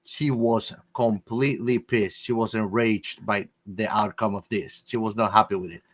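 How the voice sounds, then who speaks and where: A man's voice: on the low side, fairly even intonation, normal speed. Emphatic man in casual film discussion. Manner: emphatic, repeats phrases to drive points home. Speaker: movie discussion podcast co-host.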